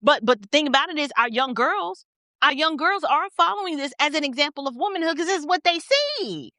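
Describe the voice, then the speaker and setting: Female American political host, high voice, dry close sound, emphatic and blunt off-the-cuff delivery. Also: big pitch swings, fairly quick, sharp tone, no echo. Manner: blunt, emphatic, repeating phrases for stress. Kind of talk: opinionated off-the-cuff commentary.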